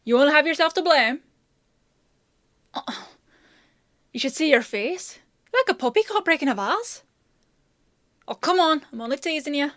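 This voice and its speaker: A woman's voice: sits high, lively intonation, normal speed, pauses often, a bit bright, no room sound, clean studio sound. A woman playing a bossy, teasing female apothecary in a fantasy audio roleplay, with a chatty, sardonic, playfully scolding manner.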